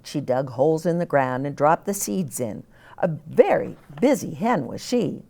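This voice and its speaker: A woman reading a children's story aloud as an expressive storyteller. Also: deep voice, a little slow, vocal fry.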